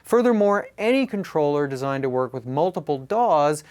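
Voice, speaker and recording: Slightly high-voiced male product reviewer. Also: big pitch swings, normal speed, darkish tone. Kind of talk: scripted product review narration.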